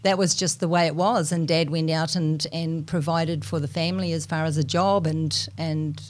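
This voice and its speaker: A middle-aged woman with a New Zealand accent, a guest chatting casually in an interview, often pausing with fillers and restarting her sentences. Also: deep voice, steady tone. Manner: halting, many fillers and restarts.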